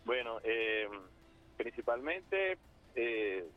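A man's voice: mid-range voice, lively intonation, very slow, faint music behind. A man with a Zulian Venezuelan accent, a guest on a phone interview who talks hesitantly with many fillers. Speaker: guest muralist. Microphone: phone line. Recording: muffled phone line.

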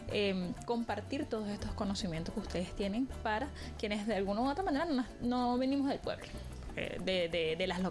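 A woman interviewing a guest, asking long, hesitant questions full of fillers. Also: medium pitch. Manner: hesitant and rambling, with many fillers.

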